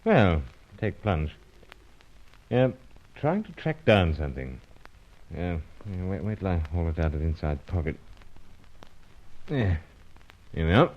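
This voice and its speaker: Dry british spy in old radio serial, deep voice, clipped, kinda dull lofi sound. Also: male voice, big pitch swings, unhurried, pauses often, heavily acted. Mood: dry, evasive. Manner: clipped, drops small words, understated. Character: suave, dry, pipe-smoking male British secret agent. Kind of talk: old-time radio serial acting and narration.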